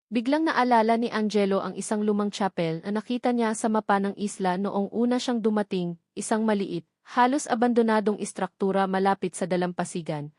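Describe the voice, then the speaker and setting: A woman's voice: mid-range voice, a little mellow, no echo, clean studio sound. A woman with a Filipino accent reading scripted story narration for an audio drama.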